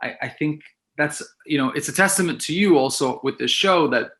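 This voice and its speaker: A middle-aged man whose first language is Italian, reflecting on his work as a filmmaker in a relaxed interview. His voice is bright and forward, and he circles back on his words often as he thinks aloud. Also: a little high, normal speed. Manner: reflective, many restarts and repeated words. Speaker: filmmaker guest. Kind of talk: casual interview chat.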